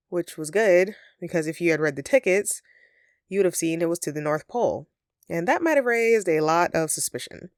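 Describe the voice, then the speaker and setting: Low-voiced sheepish Christmas elf woman, played by an actress. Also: no echo, studio quality, lightly acted. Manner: hesitant, sheepish, conversational and earnest. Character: flustered, friendly female Christmas elf, secretly living as roommate. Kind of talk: audio roleplay addressed to the listener.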